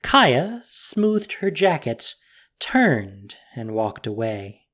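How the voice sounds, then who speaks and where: A man reading scripted fantasy story narration with expressive delivery. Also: mid-range voice, very slow.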